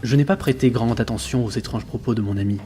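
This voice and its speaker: An actor playing an earnest sailor who narrates his ship's log in a measured, reflective way for an audio drama. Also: mid-range voice, mellow, slight background noise, close to an everyday voice. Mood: uneasy. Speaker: narrator and lead character. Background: background music.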